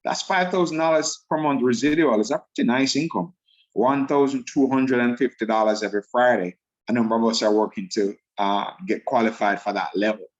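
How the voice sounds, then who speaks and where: A man with a Jamaican accent giving an enthusiastic, fast-talking sales pitch on a video call, repeating himself for emphasis. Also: highs cut off. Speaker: online sales presenter.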